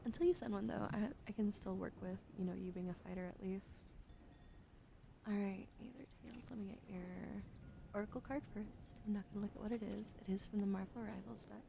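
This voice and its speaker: Young woman, soft ASMR talker, husky fry in the voice, half-whispering close to the mic, hissy home recording. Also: medium pitch, fairly even intonation, a little fast. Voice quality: gravelly fry, often whispered. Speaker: streamer doing tarot readings. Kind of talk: casual ASMR chat, soft-spoken.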